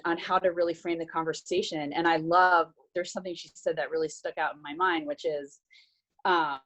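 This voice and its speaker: A woman on a video call recounting a workshop conversation, earnest and hesitant with many restarts. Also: sits low. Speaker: workshop participant. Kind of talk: casual recap on a video call.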